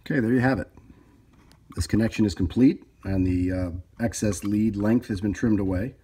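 A man's voice: fairly low pitch, moderate pace, dark tone, breathy edge. A man giving a casual, unscripted hands-on tutorial, thinking aloud as he works at an indoor bench. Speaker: hobbyist repairer demonstrating his work. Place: indoors at a workbench.

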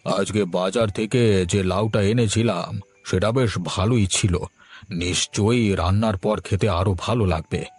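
A man playing a mild, appreciative village husband in a Bengali cartoon, talking in a gentle, conversational way. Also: fairly low pitch, unhurried, husky, soft background music. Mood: pleased, relaxed.

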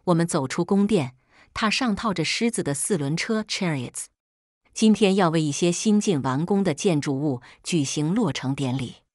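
A woman reads a first-person audiobook story in a very low register. Her voice has a slightly husky edge, and the recording is dry, without room sound. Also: no echo. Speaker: audiobook narrator.

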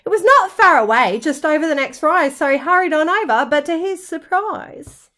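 A woman reading a rhyming children's storybook aloud in an expressive, dramatic, sing-song way, like a teacher. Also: sits high, moderate pace. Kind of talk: children's storybook narration in rhyme.

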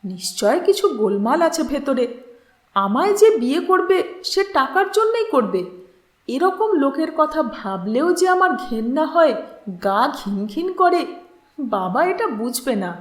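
A woman's voice: sits high, very animated, unhurried, roomy sound. A woman voicing a bitter, distressed young woman, emotional, scornful and pleading, in a story reading.

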